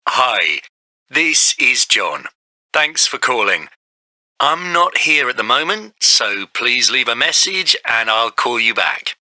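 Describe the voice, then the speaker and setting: A friendly male office worker's voicemail greeting. His voice is very bright, with lively intonation. He speaks in measured, clear phrases for a scripted listening exercise. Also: mid-range voice, no room sound, heavily acted.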